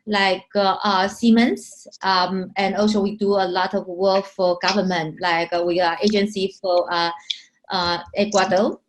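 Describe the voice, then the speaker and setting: Woman from Taiwan, company founder, mid-pitched voice, loose searching answers full of fillers. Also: low-quality recording. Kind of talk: interview answers.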